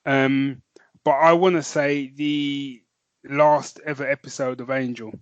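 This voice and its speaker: A man's voice: mid-range voice, level tone, slow, no echo, highs cut off. A man co-hosting a podcast, chatting with rambling enthusiasm and a lot of "man". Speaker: podcast co-host. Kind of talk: casual chat.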